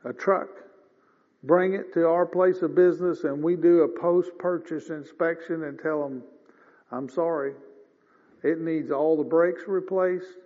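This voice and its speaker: A man in his fifties preaching a sermon in a folksy, conversational way, often clearing his throat. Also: fairly high pitch, fairly even intonation, measured pace. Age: 50s. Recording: dull lo-fi sound with long reverb.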